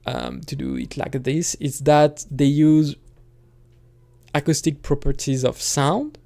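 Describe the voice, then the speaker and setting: A man talking casually on his own channel in a hesitant, halting way, thinking out loud as he goes. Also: fairly high pitch, fairly even intonation. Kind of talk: casual rambling solo talk.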